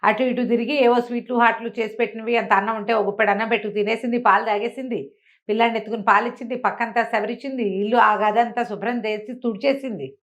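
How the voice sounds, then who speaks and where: A woman's voice: a little high, steady tone, fast. A woman telling a folk tale aloud in a conversational, oral storytelling style.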